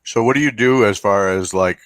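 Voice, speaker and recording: A man with a deep, low voice hosts a casual interview. His questions are conversational, and the sound is dry, free of room echo. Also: a little fast, fairly bright tone. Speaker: podcast interviewer.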